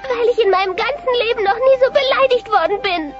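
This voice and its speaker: A teenage dinosaur daughter with a very high, girlish voice. She is dramatic, stubborn and easily wounded, in sitcom audio-play style. The recording is slightly dull, with music clearly behind her. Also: fast. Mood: hurt, indignant. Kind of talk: German dubbed family sitcom audio play.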